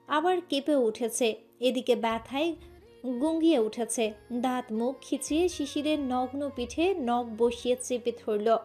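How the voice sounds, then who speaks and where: A woman's voice: high voice, a little mellow. A woman reading a scripted romantic story as its narrator.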